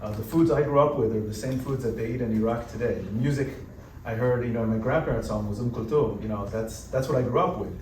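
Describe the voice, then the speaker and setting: A man who grew up in the United States, speaking on a panel in a relaxed, conversational way with lots of "you know" fillers. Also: medium pitch, fast talker. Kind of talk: panel discussion. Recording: roomy hall, distant mic, background noise.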